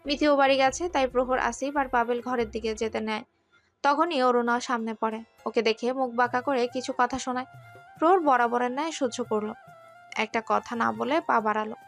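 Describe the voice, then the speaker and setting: Fairly high-voiced woman story narrator. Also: normal speed, soft background music. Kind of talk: scripted romance story narration.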